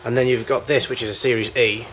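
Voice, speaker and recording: Halting man giving an informal show-and-tell. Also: medium pitch, fairly even intonation, a bit bright, rough recording. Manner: halting, rambling, with self-corrections. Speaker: collector showing vintage tape recorders.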